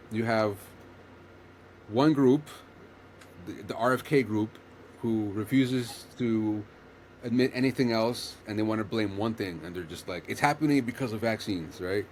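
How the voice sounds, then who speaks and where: A man's voice: lowish voice, a little hiss or hum. A man hosting a casual chat, explaining earnestly while rambling and restarting his sentences.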